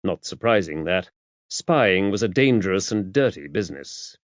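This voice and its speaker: A man's voice: sits low, animated, normal speed, no echo. Male audiobook narrator, dull muffled sound. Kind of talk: scripted narration of a mystery novel.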